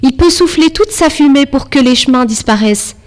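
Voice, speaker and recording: Higher-pitched French-speaking woman host. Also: lively intonation, fairly quick. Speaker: interview host. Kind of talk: interview hosting and poem reading.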